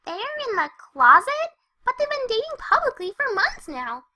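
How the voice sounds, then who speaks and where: A woman's voice: extremely high pitch, huge pitch swings, moderate pace, bright, forceful tone, full character voice. A woman voicing a hyper, cheerful young female cartoon pony who narrates her story in a chatty, scatterbrained way. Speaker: first-person narrator of a fan fiction reading. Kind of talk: animated character narration.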